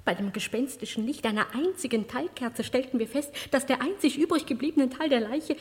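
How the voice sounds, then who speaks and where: An actress playing a melodramatic cook giving formal, grandly theatrical testimony in a parody crime radio play. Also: female voice, high voice, a little fast, lightly acted. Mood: grave horror. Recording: cavernous reverberant radio-play sound.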